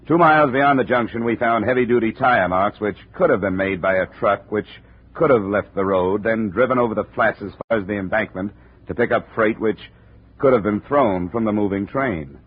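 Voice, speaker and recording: An actor playing a brisk, dry American insurance investigator who also narrates a 1950s radio drama. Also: male voice, fairly low pitch, slightly rough. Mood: dry, narrating. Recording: narrow, muffled old radio recording.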